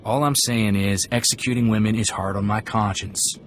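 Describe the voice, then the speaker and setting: An actor playing an earnest, vengeful companion fighter in a video game, plainspoken and firm. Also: male voice, on the low side, steady tone, moderate pace, slight background noise, lightly acted. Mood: disapproving, sober.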